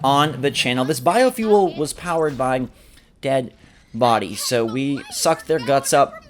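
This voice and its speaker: A man's voice: mid-range voice, expressive, measured pace, a little hiss or hum. A man giving casual, chatty gameplay commentary, hyping up what is coming like a video host. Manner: informal, chatty, hyping up the footage.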